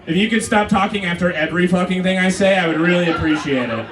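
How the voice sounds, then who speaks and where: A man's voice: sits high, fast, recorded from a distance, background noise. A Brazilian-born man in his thirties doing a stand-up set, telling wry, profane stories and talking back to the crowd. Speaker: stand-up comedian. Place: comedy club stage before a live crowd. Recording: roomy club audio with crowd laughter.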